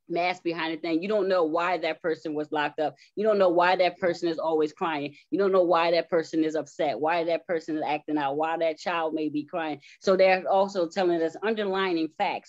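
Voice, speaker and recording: Female interviewee, lowish pitch, earnest and emphatic, slightly muffled recording. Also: a little mellow. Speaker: stage play cast member being interviewed. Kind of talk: unscripted reflective answer. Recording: dull, lo-fi sound.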